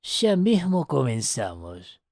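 A man's voice: mid-range voice, wildly swooping pitch, slow pace, a little mellow, no echo, clean studio sound, voice pushed up, heavily acted. A man voicing an elderly, polite Argentine museum tour guide in a storybook reading. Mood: polite, routine.

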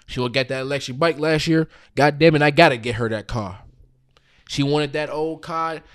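A man's voice: on the high side, normal speed, clear, resonant tone, no room sound. Blunt Southern man, dry sound. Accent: Southern American. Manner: blunt storyteller, swears. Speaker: podcast co-host. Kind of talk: casual group chat.

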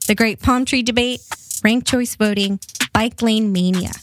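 A woman's voice: a little high, measured pace. A woman podcast host reading an upbeat scripted promo, ticking off items one by one. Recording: drum machine music bed.

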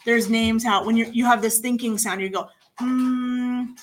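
A woman's voice: a little high, fairly even intonation, a little slow. A woman in a radio studio chatting casually as an accent coach, explaining things patiently and often checking in with "right?".

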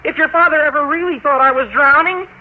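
An actor playing an eager, excitable teenage schoolboy schemer in an old-time radio sitcom. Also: male voice, very high-pitched, fast talker. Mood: eager, worried. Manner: eager, animated. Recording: tinny old radio recording with noise.

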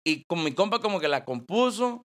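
Mexican man in his twenties, banda singer, relaxed storyteller, laughs often, slangy. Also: high-pitched, brisk. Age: 20s. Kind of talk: casual storytelling interview.

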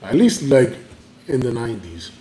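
A man's voice: mid-range voice, big pitch swings, moderate pace, warm tone. A man co-hosting a casual podcast, talking in a crude, rambling way with lots of filler words. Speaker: podcast co-host. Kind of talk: casual chat. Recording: echoey room with faint background noise.

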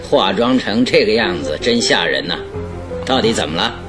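A man's voice: on the low side, lively intonation, music in the background, close to an everyday voice. A man playing a self-assured, secretive detective in a radio drama, teasing and evasive. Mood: wry, teasing. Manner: chuckling, teasing, unhurried and evasive.

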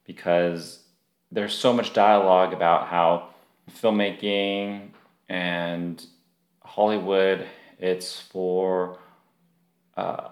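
A man hosting a podcast, talking in a relaxed, conversational way while recording remotely. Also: low-pitched, roomy sound. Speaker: podcast host. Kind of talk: podcast interview.

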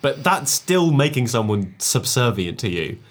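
A man's voice: medium pitch, sing-song, measured pace. A man co-hosting a casual chat podcast, laughing often and teasing the others. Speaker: podcast co-host.

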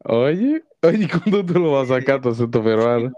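A man's voice: mid-range voice, big pitch swings, moderate pace, mellow, no room sound, highs cut off. A man with a Dominican Spanish accent hosting a podcast, chatting casually and teasingly, slipping between Spanish and English. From Dominican Republic. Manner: teasing, jokey, mixes in English. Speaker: podcast host. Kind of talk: casual bilingual chat.

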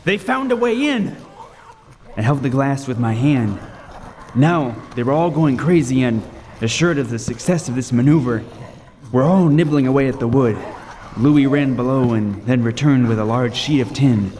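A man's voice: medium pitch, animated, moderate pace, darkish tone, natural voice. An actor playing a lighthouse keeper who tells his horror story with vivid, suspenseful intensity. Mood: urgent. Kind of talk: dramatic first-person narration in radio table read. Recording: roomy long reverb, faint noise.

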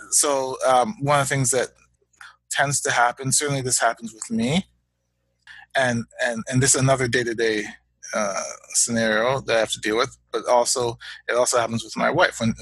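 A Canadian man and father of young children, talking as a guest in a reflective interview, measured and halting, often repeating words as he thinks. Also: mid-range voice, a bit bright, husky, no echo, poor audio.

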